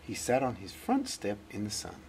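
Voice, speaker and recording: A man reading a children's story aloud in a dramatic, deliberate way. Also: fairly low pitch, wildly swooping pitch, gravelly, noisy background. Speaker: storybook reader. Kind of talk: expressive scripted children's story narration.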